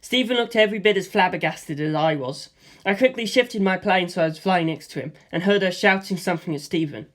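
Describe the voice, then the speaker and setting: A reader telling an adventure story in the first person, moving through the scenes in a steady, plain way. The voice sits at an ordinary middle pitch and stays even and unhurried from line to line. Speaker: audiobook reader.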